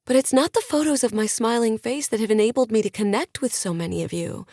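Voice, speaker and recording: A bright, preachy influencer woman works a crowd with lifted, eager delivery, pitched well above the narrator's own voice, as a woman narrator puts her on. The sound is close and dry, like an audiobook booth. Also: fast talker, no room sound. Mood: inspirational. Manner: projecting, preachy and peppy. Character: upbeat woman influencer giving a motivational talk.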